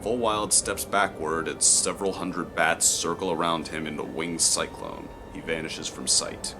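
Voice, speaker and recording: A man reading scripted story narration in a measured, descriptive way. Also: low-pitched, steady tone, background noise.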